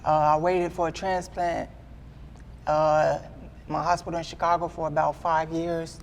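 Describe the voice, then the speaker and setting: A man in his twenties giving a candid sit-down interview, earnest and halting, often repeating himself as he restarts. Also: on the high side, level tone, a little hiss or hum. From Midwestern US. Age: 20s. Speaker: guest artist.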